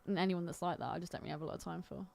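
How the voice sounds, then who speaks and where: A woman's voice: lowish voice, steady tone, very fast, gravelly, darkish tone. A woman co-hosting a podcast with casual film commentary, blunt, sweary and teasing in manner. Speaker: podcast co-host.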